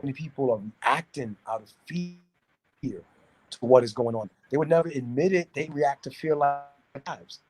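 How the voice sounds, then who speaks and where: A man from New City, talking casually and giving opinions in a halting way, repeating words as he searches for phrasing. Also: mid-range voice, a little mellow, a little hiss or hum, low-quality recording. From New York City, United States. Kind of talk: casual discussion, opinionated talk.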